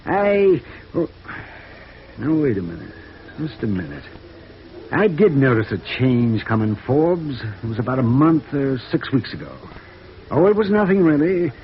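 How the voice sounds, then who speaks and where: An actor playing a hesitant, troubled accounting chief who repeats himself, in 1950s radio drama style. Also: male voice, medium pitch, sing-song, measured pace, pauses often. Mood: searching, hesitant. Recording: muffled, noisy vintage radio recording.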